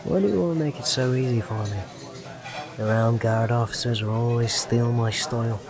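An actor playing a smooth, intimidating secret agent in a science fiction audio drama. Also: male voice, mid-range voice, normal speed, natural voice. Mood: suave. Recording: clear crowd murmur behind.

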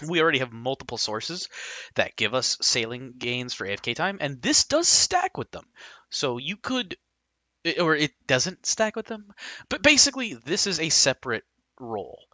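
A man narrating a casual game guide over screen footage, rambling and hesitant with frank opinions, his voice slightly hoarse. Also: mid-range voice, bright, forceful tone, no echo.

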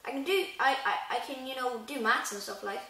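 A woman's voice: high-pitched, fairly quick, sharp tone, roomy sound, distant mic, voice pushed up. A woman voicing a puzzled, polite young boy from a storybook, hesitant and curious.

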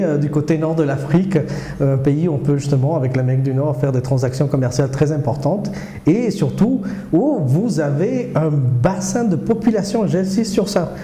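Dark-voiced man with halting speech. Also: medium pitch. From South America. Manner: hesitant, many fillers and restarts; earnest and persuasive, gestures with hands. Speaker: startup accelerator director. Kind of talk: studio interview answers. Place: small indoor studio, seated before a screen. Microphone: lapel. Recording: roomy echo.

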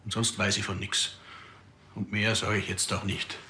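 An actor playing an evasive, defensive middle-aged village hunter, curt under questioning. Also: male voice, on the low side, level tone, normal speed, sharp tone, slight background noise.

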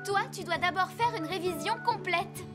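A woman voicing a brisk, caring young female rescue worker in a French children's cartoon, with a crisp, instructive manner. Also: very high voice. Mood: kindly firm. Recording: music bed behind the voice.

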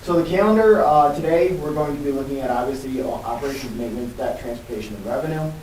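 A man's voice: medium pitch, fast talker, darkish tone. Echoey, distant man presenting a budget. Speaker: school budget official presenting. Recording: echoey, distant mic, faint noise.

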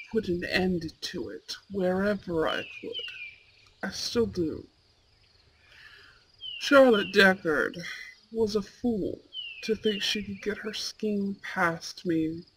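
A woman voicing a proud, imperious young male student in a roleplay audio drama, with haughty, formal and deliberate diction. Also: mid-range voice, slight background noise, close to an everyday voice, low-quality recording. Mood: disdainful. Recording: steady outdoor bird and insect ambience. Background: birdsong and insects.

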